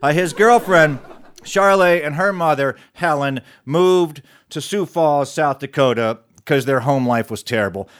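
A man's voice: fairly high pitch, a little slow. A man telling a comic tall tale live, folksy and conversational with asides. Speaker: storyteller.

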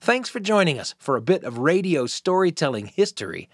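A man hosting a storytelling show, narrating and introducing clips in an animated way. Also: on the high side, brisk. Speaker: podcast host and storyteller.

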